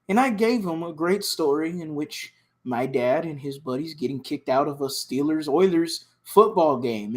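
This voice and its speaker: A man with a raspy, hoarse voice co-hosts a sports podcast. He sits slightly higher in pitch and tells his stories in an eager, rambling way, stumbling over his words. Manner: excited, rambling, restarts a lot. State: hoarse from cheering. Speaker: podcast co-host. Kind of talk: casual sports podcast chat.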